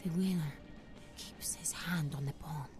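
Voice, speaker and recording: A woman playing a troubled video game seer haunted by visions, speaking in a halting, cryptic, trancelike way. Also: sits low. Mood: ominous, prophetic. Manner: halting, cryptic, drifting between trance and fear. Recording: music behind the voice.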